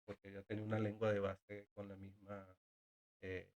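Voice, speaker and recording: A man's voice: fairly low pitch, monotone, normal speed, a little mellow. A man who works as a sign language interpreter and teacher of deaf students, a guest in a casual conversation, speaking earnestly with frequent hesitations and repeated words.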